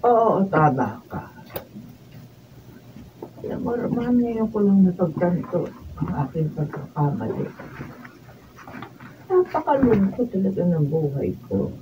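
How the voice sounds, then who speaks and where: A woman's voice: on the low side, very animated, a little slow, long pauses. A woman playing an aggrieved elderly mother in a radio drama, plaintive and sulky, with a Filipino accent. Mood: weary, regretful. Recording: distant, muffled, noisy room recording.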